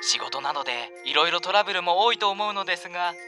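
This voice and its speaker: An actor playing an unctuous, uncanny contractor of lives in a radio drama, speaking with exaggerated, theatrical politeness. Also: male voice, high voice, animated, fast talker. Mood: smoothly persuasive. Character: unctuous, uncanny male life contractor offering sinister favors. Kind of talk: Japanese radio drama acting. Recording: music behind the voice.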